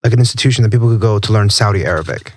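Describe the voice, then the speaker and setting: A man hosting a podcast interview, asking measured questions and recapping his guest's story. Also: lowish voice, a little hiss or hum. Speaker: podcast host.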